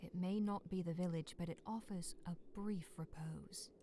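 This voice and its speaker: A woman reading scripted dramatic story narration in a measured, solemn, sometimes hushed way. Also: on the low side, fairly even intonation, a little hiss or hum. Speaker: narrator of a visual novel.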